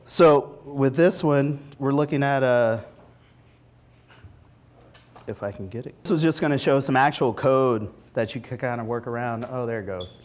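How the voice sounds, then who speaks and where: A man in his forties presenting informally at a tech meetup, conversational, with animated asides, speaking into a lapel mic. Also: mid-range voice, moderate pace. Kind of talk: informal technical presentation with demos. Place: meetup room, standing before a small audience.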